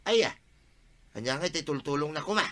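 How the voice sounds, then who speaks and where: A man's voice: a little high, animated, fast talker, a bit bright. A man with an Ilocano accent acting a broke, scheming village husband who wheedles for loans in a Filipino radio comedy drama. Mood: amused, scheming.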